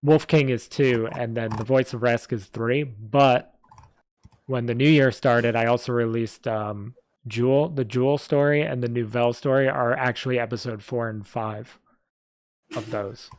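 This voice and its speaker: A man chatting casually as host about his audio stories, talking informally in an animated, slangy way. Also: medium pitch, normal speed. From Italian background. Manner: informal, animated talk peppered with "like", "dude" and "bro". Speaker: host, audio drama creator. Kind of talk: casual chat.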